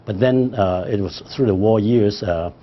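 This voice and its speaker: A man's voice: fairly low pitch, normal speed, dull audio, slight background noise. An older man from Hong Kong whose first language is Cantonese, speaking formally in an oral history interview with frequent hesitations. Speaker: interviewee, librarian.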